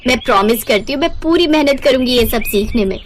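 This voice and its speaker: A woman voicing a polite young newlywed in an animated storybook tale, respectful, earnest and eager. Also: on the high side, fast talker, a little hiss or hum, natural voice. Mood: eager, hopeful.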